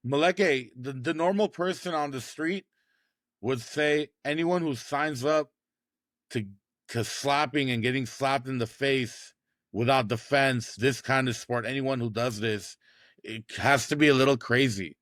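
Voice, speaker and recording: A man's voice: medium pitch, unhurried, a bit bright, dry recording, clean studio sound. A man interviewing a guest casually, addressing him in a friendly, informal way. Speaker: interviewer, show host.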